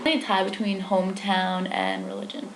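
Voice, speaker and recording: A woman's voice: mid-range voice, big pitch swings, fairly quick, background noise. A young woman answering interview questions in a casual, hesitant way, full of "like" fillers. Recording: echoey room.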